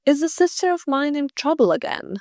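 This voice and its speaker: A woman's voice: high voice, expressive, brisk, a little mellow, no echo, clean studio sound, pitched above the performer's own voice. A woman voicing a teasing, mock-serious schoolboy in a read-aloud story. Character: teasing schoolboy older brother.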